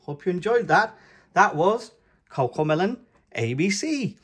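A man's voice: high voice, unhurried, slightly rough. A man hosting a children's read-aloud, speaking in an emphatic, sing-song way for toddlers. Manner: animated, emphatic, sing-song delivery of letters and words.

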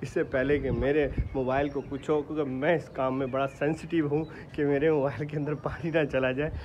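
A young man vlogging his poultry yard on a phone held at arm's length, chatting and calling out loudly to his birds. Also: fairly high pitch, level tone, fairly quick. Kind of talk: casual selfie vlog. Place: rooftop poultry yard outdoors, light rain. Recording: dull phone audio with outdoor noise.

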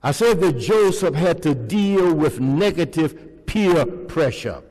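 Older pastor preaching slowly in a church. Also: male voice, a little high, lively intonation, darkish tone. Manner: preaching with call-and-response questions to the congregation. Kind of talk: sermon. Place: large church sanctuary, indoors. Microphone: pulpit microphone. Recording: long reverb of a church hall.

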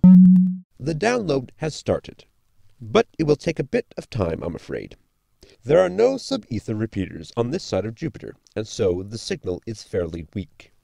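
Guy doing a polite nerdy robot for sci fi radio comedy, super up and down pitch, lots of fry, formal and precise. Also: huge pitch swings, moderate pace, mellow, no room sound, full character voice. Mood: politely apologetic. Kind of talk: sci-fi comedy audio drama acting.

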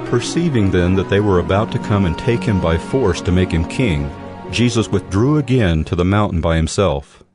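Low-voiced man narrating scripture. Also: lively intonation, moderate pace. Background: music.

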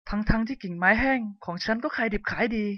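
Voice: medium pitch, a little fast, no echo, lo-fi sound, voice pushed up, lightly acted. A narrator doing a sing-song firewood seller. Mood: regretful. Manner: sing-song street calling. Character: village firewood seller, a grown man.

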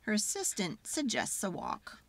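A woman narrating a children's storybook in a dramatic, expressive and deliberate way. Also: mid-range voice, vocal fry, slight background noise. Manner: expressive, deliberate, acts out sounds and feelings. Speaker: children's book read-aloud narrator.

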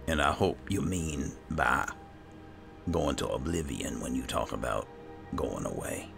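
Super deep croaky guy roleplaying through a game, dry sardonic remarks, faint music behind him. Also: big pitch swings, a little slow. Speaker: gamer playing in character. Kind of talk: roleplay gameplay commentary.

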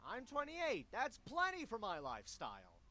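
Whiny little slacker cartoon animal. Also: male voice, very high voice, wildly swooping pitch, normal speed, sharp tone, exaggerated voice. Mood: whiny, protesting. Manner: slangy, complaining. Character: small whiny slacker cartoon animal, male, late twenties. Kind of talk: voiced game dialogue.

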